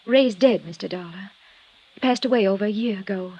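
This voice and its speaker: An actress playing a gracious, effusive young widow in a 1950s radio drama, polite and eager to please. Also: female voice, mid-range voice, fairly quick, husky. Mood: polite, soft. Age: young adult. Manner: polite, effusive, soft-mannered. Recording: dull old radio recording, faint noise.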